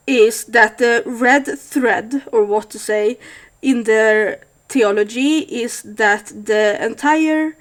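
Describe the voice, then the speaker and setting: Slow-speaking Swedish woman vlogger. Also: on the high side, a bit bright. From Sweden. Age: 30s. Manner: thoughtful, hesitant with frequent fillers. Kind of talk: casual monologue to camera.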